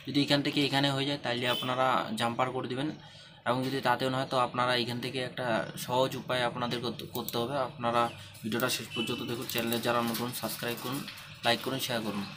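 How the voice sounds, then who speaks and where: A man talks very quickly in a flat, even tone, walking through a hands-on tutorial step by step and repeating his instructions. His pitch is average, and clear noise runs through the home-made recording. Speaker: phone repair tutorial host.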